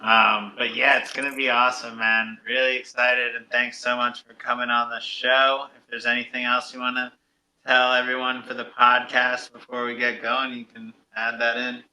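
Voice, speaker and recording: A man's voice: medium pitch, monotone, moderate pace, bright, forceful tone, low-quality recording. A man hosting a podcast in casual, upbeat, slangy talk. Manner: laid-back slangy, upbeat. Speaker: podcast and radio host. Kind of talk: casual chat.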